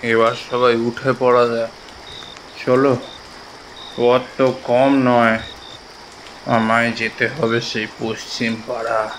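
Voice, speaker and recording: A man's voice: mid-range voice, little pitch movement, slow, long pauses, fairly bright tone, slight background noise, low-quality recording. An actor playing a weary, reluctant village man in an audio drama, with a plaintive, pleading manner.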